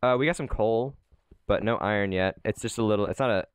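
A man's voice: lowish voice, fast talker, smooth, no room sound, clean studio sound. A young man hosting a gaming channel, chatting casually and rambling while he plays with friends, often laughing. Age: young adult. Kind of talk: casual gameplay commentary.

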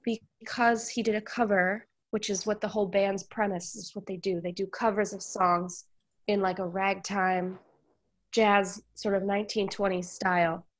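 A woman's voice: medium pitch, flat delivery, moderate pace. A woman musician with a cold, sounding congested, chatting casually on an online call with many fillers. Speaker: musician guest. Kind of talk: casual discussion.

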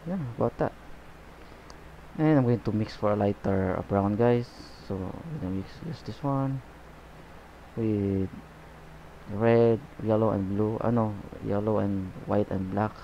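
A man giving a painting tutorial as he works, talking in a relaxed, unhurried way and often saying "okay" and "guys". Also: fairly low pitch, gravelly, slight background noise. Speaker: painting instructor. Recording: slightly dull, lo-fi recording.

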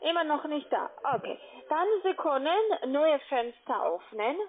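A woman's voice: sits high, expressive, unhurried. A woman with a foreign accent in German, working as a call center agent and giving instructions insistently and repetitively. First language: not German. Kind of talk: phone sales instructions. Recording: muffled narrow-band phone line.

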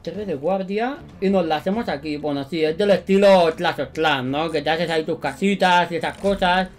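A young man in his twenties with a Spanish accent from Spain, giving excited, slangy gameplay commentary in a bedroom studio. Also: on the high side, moderate pace. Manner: animated, slangy, repeats himself. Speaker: gaming YouTuber.

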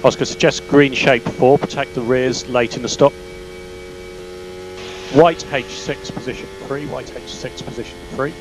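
Calm male race engineer on noisy radio. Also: medium pitch. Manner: calm, measured, terse. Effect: team radio. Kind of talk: clipped team radio instructions. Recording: noisy radio link with car hum. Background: car engine hum.

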